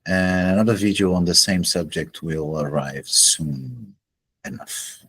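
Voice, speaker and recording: Slow, husky-voiced man. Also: fairly bright tone. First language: Portuguese. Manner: measured, deliberate, pauses between phrases. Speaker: astrology teacher. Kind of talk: solo explanatory lecture. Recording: low-quality home recording.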